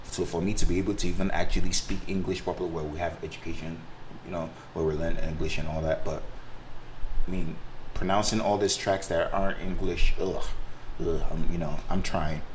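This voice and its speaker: A man's voice: deep voice, a little hiss or hum, poor audio. A man from Africa with a Nigerian accent and Igbo as his first language, talking casually and unscripted to viewers in a hesitant, rambling way. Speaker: sim racing video creator and driver.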